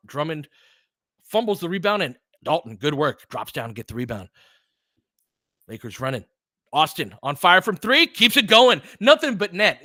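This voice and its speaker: A man doing live basketball play-by-play and analysis as a host, informal and openly partisan, excitable on big plays. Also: a little high, no room sound, clean studio sound.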